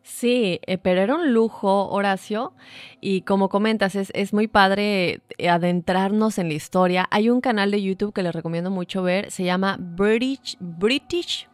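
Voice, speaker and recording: A woman's voice: medium pitch, normal speed, no room sound. A woman, co-hosting a casual chat and telling a story with many fillers. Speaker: podcast co-host.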